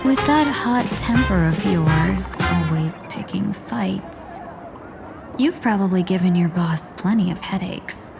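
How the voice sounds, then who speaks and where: A woman playing a cool, delicate-looking merchant who poses as a deadly assassin in a video game, speaking in a composed, measured way with veiled threats. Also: fairly low pitch, creaky. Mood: calm threat. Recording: loud background music.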